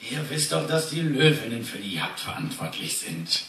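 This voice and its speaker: A man's voice: medium pitch, big pitch swings, moderate pace, bright, forceful tone. A man playing a haughty, irritable lion king in a live radio play, with a pompous, commanding manner. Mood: disdainful. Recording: echoey hall, distant handheld phone, noisy.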